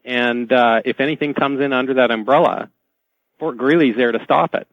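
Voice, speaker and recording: Man, radio guest, dull dry lo-fi feed, mid-pitched, halting explanations, repeated words. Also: no room sound. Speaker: talk show guest. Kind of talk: explanatory radio interview talk.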